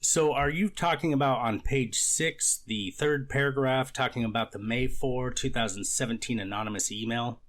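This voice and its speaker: A man's voice: mid-range voice, normal speed, hardly any pauses, a bit bright, natural voice. A man playing a formal, methodical court official in a scripted readers theater courtroom drama. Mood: procedural, authoritative. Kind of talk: amateur readers theater, scripted legal reading.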